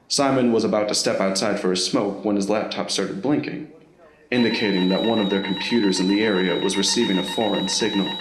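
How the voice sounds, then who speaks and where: Guy narrating an audio drama, lowish voice, echoey room. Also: slight background noise. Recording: roomy, echoing voice recording.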